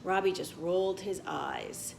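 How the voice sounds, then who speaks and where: A woman's voice: fairly low pitch, measured pace, creaky, a little hiss or hum. A woman reading a children's story aloud as a teacher, expressively, with asides to her young listeners.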